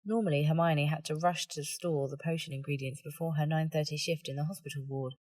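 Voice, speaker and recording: A woman's voice: low voice, fairly even intonation, brisk, a little mellow, breathy edge, no echo. A woman reading a story aloud as scripted audiobook narration.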